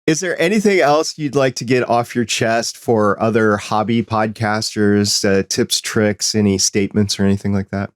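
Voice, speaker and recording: A man's voice: on the low side, moderate pace, hardly any pauses, clean tone, no echo. A man hosting a podcast interview from his home studio, upbeat and conversational. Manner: upbeat, conversational, reads chat comments. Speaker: podcast host.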